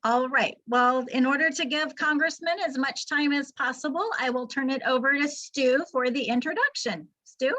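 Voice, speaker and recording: Woman running a club meeting, high bright voice, upbeat. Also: moderate pace, few pauses. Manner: upbeat, encouraging. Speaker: meeting host.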